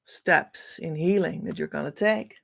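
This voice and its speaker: Dutch-native woman, middle-aged, spiritual coach reading cards real slow, lowish voice, close webcam. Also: creaky. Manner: slow, musing, drifts between soft and louder emphasis. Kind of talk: oracle card reading to camera.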